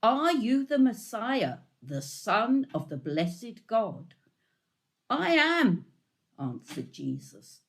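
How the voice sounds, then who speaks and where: A woman reading scripture solemnly and deliberately for a church service, giving emphasis to quoted speech. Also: on the high side, sing-song. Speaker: scripture reader. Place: online video-call service.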